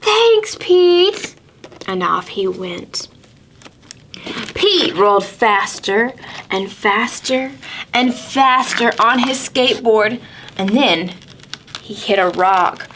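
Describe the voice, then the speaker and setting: A woman with a high, lively voice reads a children's story. She goes slowly and deliberately, leaving dramatic pauses for small listeners. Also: slight background noise. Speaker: library storytime presenter. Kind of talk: expressive picture-book reading for preschoolers.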